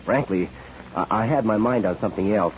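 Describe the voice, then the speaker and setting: An actor playing a frank young male witness, halting and a little embarrassed, in 1940s radio style. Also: on the low side, lightly acted. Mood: reluctant, sheepish. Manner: halting, informal. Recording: dull, noisy 1940s radio recording.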